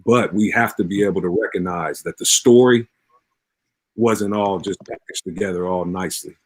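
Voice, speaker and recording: A man's voice: low voice, moderate pace, no echo. A man in his forties, a pastor speaking earnestly as an interview guest, repeating phrases for emphasis in a preacher-like way. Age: 40s.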